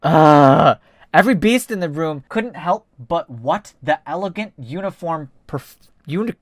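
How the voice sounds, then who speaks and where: A man's voice: fairly high pitch, a little slow, dry recording, studio quality. A man hosting a YouTube video, speaking in an informal, self-deprecating way as he narrates and chats. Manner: informal, self-deprecating, animated when reading. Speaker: YouTube host reading fan fiction. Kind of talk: casual YouTube narration and chat.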